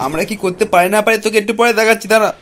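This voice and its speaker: A man's voice: high-pitched, fairly even intonation, racing, over loud music, lightly acted. A man voicing a bossy, combative young cartoon fellow, coarse and blustering. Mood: threatening. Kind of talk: comic cartoon dialogue.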